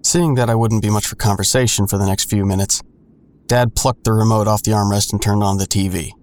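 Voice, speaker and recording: A man playing a grown son who narrates an audio drama in a sardonic, colloquial, deadpan way. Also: lowish voice, brisk, close to an everyday voice. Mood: wry, reflective. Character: wry adult son narrating his own hard-boiled story. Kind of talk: first-person audio drama narration with dialogue.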